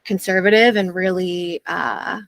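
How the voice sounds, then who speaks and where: A woman's voice: lowish voice, fairly even intonation, unhurried, gravelly. A young adult woman speaking as an interview guest, earnest and thoughtful, with frequent fillers. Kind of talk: reflective interview conversation.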